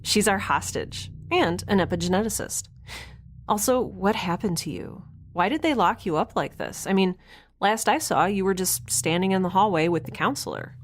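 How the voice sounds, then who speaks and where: A woman's voice: mid-range voice, expressive, normal speed, a little hiss or hum, lightly acted. A woman playing a chatty, naive young mutineer in a science fiction audio drama, rambling cheerfully and informally. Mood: eager, curious. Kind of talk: audio drama acting, conversational.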